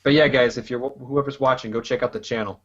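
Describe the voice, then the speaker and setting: Laid-back gaming podcaster, muffled audio. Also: male voice, medium pitch, fast talker. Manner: relaxed, rambling, laughs often. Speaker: podcast host and gaming YouTuber. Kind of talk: casual chat.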